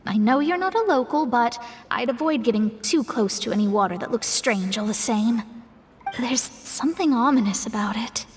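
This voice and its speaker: A woman's voice: a little high, expressive, normal speed, in a large hall, soft background music, natural voice. A woman voicing a proud, studious young astrologer in a video game, explaining things in a precise, self-important manner. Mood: cautionary. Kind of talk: animated game dialogue acting.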